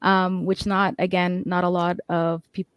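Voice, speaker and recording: A woman's voice: on the low side, level tone, unhurried, clear, resonant tone, no echo. A woman guest from Canada explaining a point in detail on a panel, with frequent restarts. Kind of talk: panel discussion.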